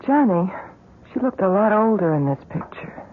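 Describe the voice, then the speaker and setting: A woman's voice: medium pitch, expressive, normal speed, natural voice. An actress playing an earnest, worried woman pleading as a voice of conscience in an old radio drama. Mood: anxious. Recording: tinny, noisy, clicking old radio recording.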